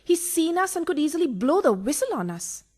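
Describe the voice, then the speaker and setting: A woman plays a scheming mistress in a radio farce. Her voice is very high and swoops up and down theatrically. She coaxes and plots with relish, and is easily thrilled. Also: big pitch swings, fairly quick, darkish tone. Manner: coaxing, theatrical. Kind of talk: farcical radio play acting.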